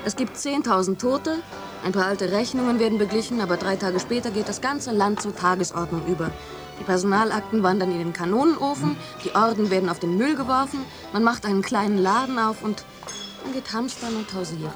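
A woman's voice: mid-range voice, a little fast, natural voice. Cynical young student girl in a radio play, a woman doing it, dry and mocking, music behind. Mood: sardonic. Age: young adult. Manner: sardonic, mocking.

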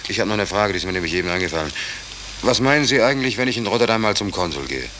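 An actor playing a stranded, stubborn sailor in a 1940s German radio drama, plain-spoken and colloquial. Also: male voice, lowish voice, expressive, rapid-fire, bright, forceful tone. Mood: questioning. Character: stranded, stubborn American sailor without papers, naive and indignant. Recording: hissy old radio drama recording.

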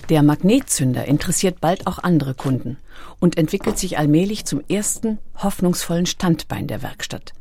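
A woman reading scripted documentary narration in a composed, clearly articulated manner. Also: low-pitched, expressive, moderate pace, warm tone, slight background noise. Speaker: audiobook narrator.

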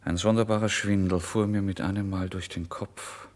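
A man narrating a literary first-person story in a brooding, expressive way, moving between hushed and emphatic delivery. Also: deep voice, normal speed. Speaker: audiobook narrator.

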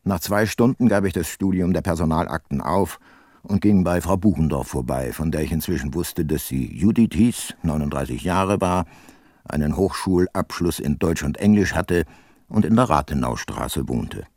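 Deep, dry older male detective narrator. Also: lively intonation, normal speed, a little mellow, no room sound. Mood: wry, rueful. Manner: dry, ironic. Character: older, dryly ironic male detective narrating his case. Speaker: first-person narrator. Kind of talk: scripted radio play narration.

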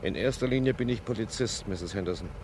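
An actor playing a stern, regretful police detective in a German crime radio play, speaking in a measured, formal way. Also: male voice, on the low side, a little mellow, slight background noise, lightly acted. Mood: formal, insistent. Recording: husky-sounding vintage radio play recording.

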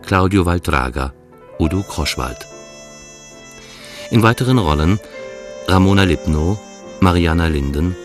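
A male radio announcer with a very low voice reads credits formally. The delivery is measured and list-like. Music plays clearly underneath. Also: lively intonation. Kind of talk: formal scripted credits reading.

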